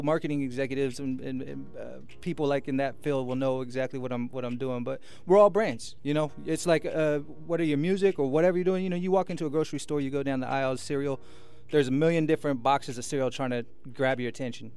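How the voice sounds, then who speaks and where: A man's voice: medium pitch, vocal fry, a little mellow, smooth. An American man from Sacramento talking casually and earnestly as a radio interview guest, rambling and often checking "you know what I mean". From Sacramento, California, USA. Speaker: guest musician and promoter. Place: radio station studio.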